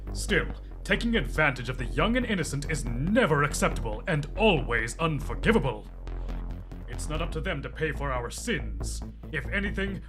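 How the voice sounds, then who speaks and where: A man's voice: medium pitch, lightly acted. A man playing an earnest, dutiful police detective in an audio drama, speaking with melodramatic gravity. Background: music.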